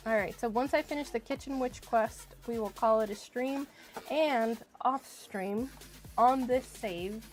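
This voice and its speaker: A woman streaming a video game, chatting casually and thinking aloud as she plays. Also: a little high. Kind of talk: casual live-stream chat while playing.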